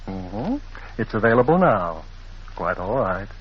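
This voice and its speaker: An actor playing a courteous librarian who hosts a radio mystery club in a measured, theatrical way, on a muffled old recording. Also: male voice, fairly low pitch, very animated, heavy background noise. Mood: courteous, inviting. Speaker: host and announcer. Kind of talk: 1940s radio mystery hosting.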